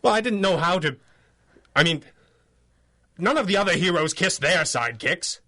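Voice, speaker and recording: Flustered, apologetic superhero. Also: male voice, high-pitched, animated, bright, forceful tone, full character voice. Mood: awkward, sheepish. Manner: halting, stammering, apologetic. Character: flustered, apologetic adult male masked superhero, secretly lovestruck. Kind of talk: 1930s radio adventure serial acting.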